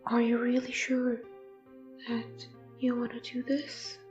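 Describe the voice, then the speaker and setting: Woman as a very slow, hesitant companion. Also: fairly high pitch, monotone, a little mellow, reverberant, far from the mic, highs cut off, soft background music. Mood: reluctant, concerned. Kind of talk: amateur audio drama character acting.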